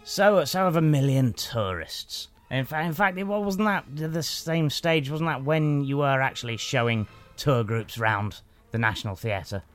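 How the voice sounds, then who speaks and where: A man's voice: mid-range voice, normal speed, hardly any pauses, faint music behind, lightly acted. An actor playing an arrogant, scornful male performance artist who talks in a haughty, sneering way. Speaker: rival actor. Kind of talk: improvised comedy banter.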